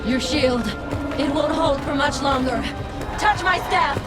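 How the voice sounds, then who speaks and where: Slow, high-voiced haughty witch. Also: over loud music. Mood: remorseful, urgent. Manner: scornful, theatrical, drawn-out menace. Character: haughty power-hungry evil witch who curses mortals. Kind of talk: video game cutscene acting.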